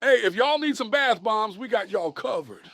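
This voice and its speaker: A man's voice: high voice, lively intonation, normal speed, fairly bright tone, no echo, clean studio sound. A man voicing a laid-back youngster who talks in casual slang, in a cartoon-style comic dub. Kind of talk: comic dub character acting.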